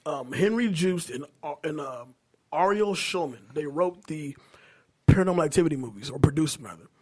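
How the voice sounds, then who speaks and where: A middle-aged American man hosting a casual podcast, telling stories in an animated, slangy, profane way. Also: a little high, moderate pace, slightly rough. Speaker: podcast host.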